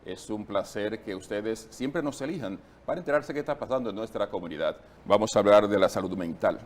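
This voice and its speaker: A lower-pitched man hosts a studio interview show, talking to viewers in a relaxed, conversational way. A faint hiss of noise sits under his voice. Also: fairly quick. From Caribbean. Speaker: TV show host.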